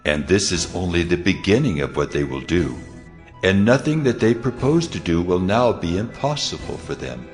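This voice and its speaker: Very deep, grave voice of God. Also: male voice, big pitch swings, faint music behind. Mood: grave, commanding. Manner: solemn, measured declaration. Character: God speaking, grave and commanding male deity. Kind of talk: dramatized audio scripture.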